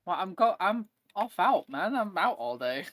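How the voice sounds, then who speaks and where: A man's voice: sits high, sing-song, normal speed, a bit bright, dry recording, studio quality. Young man, plain-spoken. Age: teens. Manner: plain-spoken, calls people mate. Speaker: stream guest. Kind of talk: casual gaming chat.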